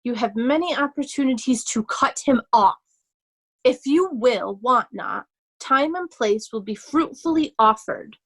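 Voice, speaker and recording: A woman playing a loyal young nobleman disguised as a humble poor man, earnest and measured, in readers' theatre Shakespeare. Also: fairly high pitch, animated, fairly bright tone, slightly rough, full character voice. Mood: reading aloud.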